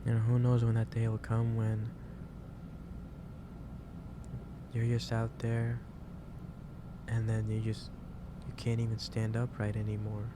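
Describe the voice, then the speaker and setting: A man playing a gentle, concerned office boss in an ASMR roleplay, speaking haltingly and repeating his phrases. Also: on the low side, monotone, background noise, natural voice. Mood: earnest concern. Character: gentle, concerned male office boss lecturing an overworked employee. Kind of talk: improvised ASMR roleplay addressed to the listener.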